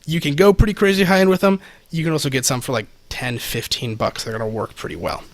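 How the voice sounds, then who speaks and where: A man's voice: fairly high pitch, lively intonation, fast. A man giving a casual, practical explanation as a podcast guest, speaking close to a microphone. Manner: informal, explains practically with examples. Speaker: podcast guest, audio expert. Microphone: close podcast microphone, slightly off to the side.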